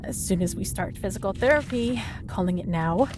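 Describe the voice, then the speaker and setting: Smug, flirty villainess over noisy rumble played by a woman. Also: expressive, lightly acted. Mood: smug teasing. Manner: teasing, mock-sweet, drawn-out smug delivery. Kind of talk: comedic audio roleplay banter.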